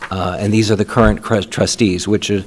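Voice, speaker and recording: A man chairing a city council meeting, speaking in a halting way with frequent restarts and fillers as he runs votes and introduces items. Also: lowish voice, little pitch movement, slight background noise.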